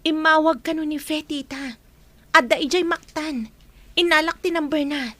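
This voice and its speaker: A woman playing a brisk, take-charge young friend in an amateur audio drama, with an Ilocano accent. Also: high voice, steady tone, a bit bright, no room sound. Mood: reassuring.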